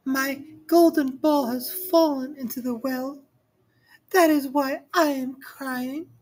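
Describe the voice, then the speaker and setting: A woman voicing a spoiled, tearful young princess in a children's storybook, with a high, whiny voice. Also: steady tone, slow, a little hiss or hum, low-quality recording.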